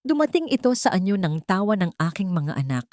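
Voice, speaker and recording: A woman narrating a scripted sentimental story in a measured, earnest way. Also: lowish voice, sing-song, a little mellow, no room sound, studio quality. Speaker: storyteller, narrator of audio drama. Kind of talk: scripted dramatic first-person narration.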